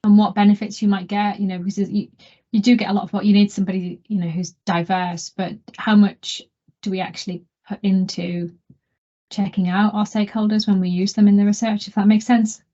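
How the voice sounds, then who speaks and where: A woman moderates an online seminar over a video call, chatting and rambling as she takes questions. Her voice is middle-pitched, and the audio is dull and muffled. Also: flat delivery, a little fast, dark tone. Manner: chatty, rambling, self-deprecating. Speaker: webinar chair.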